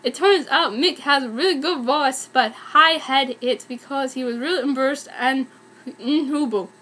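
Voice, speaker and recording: A young woman with a high-pitched voice reads a fan story aloud. She delivers it as a mock-earnest dramatic reading played for comedy, keeping the clumsy wording as written. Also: moderate pace, fairly bright tone, breathy edge. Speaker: fan fiction reader.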